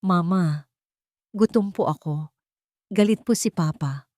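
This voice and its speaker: A woman's voice: on the low side, slow pace, warm tone, no echo, clean studio sound. Woman voicing a small, timid Filipino boy. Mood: plaintive. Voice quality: small, trembling voice. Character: small frightened hungry boy, timid and pleading.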